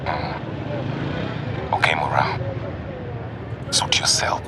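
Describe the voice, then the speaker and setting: An actor playing a menacing powerful man who speaks in a hushed, dismissive way, heard distantly over loud engine noise. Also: very deep voice, little pitch movement, very slow, heavily acted. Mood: coldly mocking.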